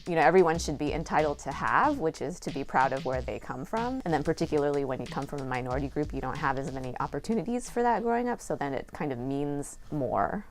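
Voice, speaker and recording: Middle-aged woman, low voice, reflective director interview, faint music bed. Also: lively intonation, quick pace, few pauses. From Seattle area, USA. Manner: reflective, laughs now and then while talking. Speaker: theater director. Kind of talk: documentary interview.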